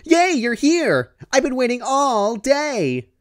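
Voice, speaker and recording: Narrator doing a super hyper pink pony girl for a story, high chirpy voice, gushing, really animated. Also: slow pace, heavily acted. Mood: gleeful excitement. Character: bouncy, gleeful, overexcited young female pink pony.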